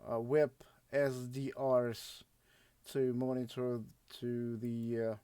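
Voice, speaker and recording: A man with a Russian accent chatting unscripted as an amateur radio operator, speaking in a halting, hesitant way. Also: mid-range voice, flat delivery, dark tone, clean tone, dry recording. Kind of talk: unscripted radio contact chat.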